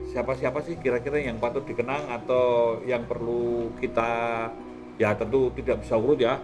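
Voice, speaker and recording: A man hosting a conversational talk discussion. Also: mid-range voice. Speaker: host.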